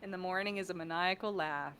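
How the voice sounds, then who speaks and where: A woman chatting casually and playfully as a guest on a livestream, quick to laugh. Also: lowish voice, a bit bright, clear, resonant tone. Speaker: guest, voice actor and audio director.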